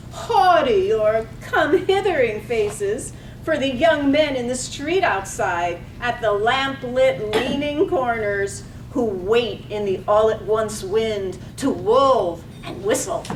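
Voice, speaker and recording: A woman's voice: a little high, lively intonation, slow pace. A woman playing a lyrical, wry narrator in a staged reading of a poetic radio drama. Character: lyrical, wry woman storyteller of a small town. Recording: echoey room, distant, faint noise.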